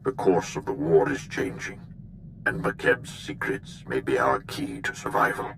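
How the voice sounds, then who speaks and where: Very deep, imperious dark lord. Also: male voice, unhurried, background noise. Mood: grave, formal. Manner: grave, formal, imperious. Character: stern, commanding male dark lord of a galactic empire. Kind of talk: dramatic video game acting.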